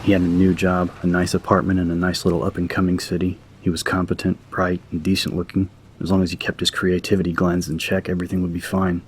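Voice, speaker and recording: A man's voice: low voice, monotone, fast, hardly any pauses, a little hiss or hum. A man narrating an audiobook from a script in a dramatic story style.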